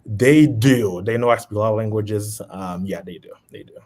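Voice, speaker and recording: A man in his late twenties with a Haitian accent, a podcast guest chatting in a relaxed way with frequent ums. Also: on the low side, moderate pace. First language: Haitian Creole. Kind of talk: casual chat.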